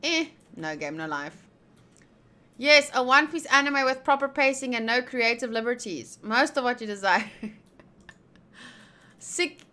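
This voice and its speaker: Bright, high-voiced young woman streamer. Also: animated, measured pace, pauses often. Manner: playful, sweary, slangy. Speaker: streamer playing a visual novel. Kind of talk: casual gameplay chat, reading game lines aloud.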